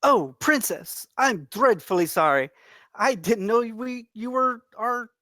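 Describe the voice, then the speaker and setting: A performer acting an earnest, cautious servant companion to a princess in a script read, measured and courteous. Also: medium pitch, expressive, pushed and bright, no echo, studio quality. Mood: gentle, apologetic. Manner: measured, courteous, formal diction.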